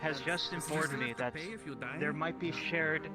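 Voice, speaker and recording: A man's voice: a little high, steady tone, a little slow, close to an everyday voice. A man playing a courteous, formal fantasy townsman with polite, wordy phrasing in an online roleplay. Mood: courteous, earnest. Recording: game voice chat under loud music.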